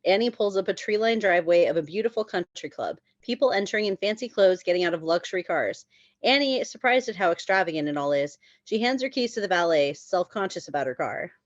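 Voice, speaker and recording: A woman's voice: lowish voice, animated, fast, dry recording, muffled recording. A woman narrating screenplay stage directions at a table read.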